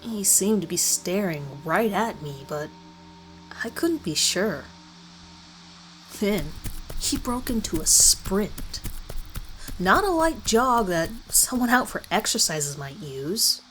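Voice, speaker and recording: A woman reads a scripted first-person horror story in a measured, deliberate way with dramatic pauses. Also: mid-range voice, big pitch swings, fairly bright tone, poor audio. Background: background music.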